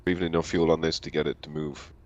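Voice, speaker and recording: A man's voice: very deep voice, level tone, fast. A man chatting casually as a player in a tabletop roleplaying game. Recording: dull, slightly noisy voice recording.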